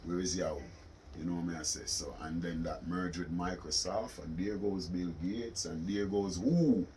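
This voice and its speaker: A low-pitched man speaks with a Caribbean accent in an insistent, sermonizing manner. He repeats words to drive his points home as he explains his views in an interview, with clear ambient noise around him. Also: fairly even intonation, a little slow, a little mellow. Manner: preaching, insistent, repeats phrases for emphasis. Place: studio, during a phone call. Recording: noisy ambient room recording.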